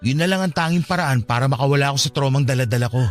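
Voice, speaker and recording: A man's voice: mid-range voice, rapid-fire, faint music behind, lightly acted. A man acting an earnest, wounded young man in an emotional, pleading style for a radio romance drama. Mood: tender, earnest.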